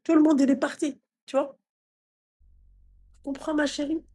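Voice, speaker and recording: A woman's voice: high voice, little pitch movement, moderate pace, warm tone. A woman hosting a live call-in stream, giving affectionate, reassuring advice to callers. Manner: affectionate, reassuring, calls callers "my little heart". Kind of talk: casual live call-in chat.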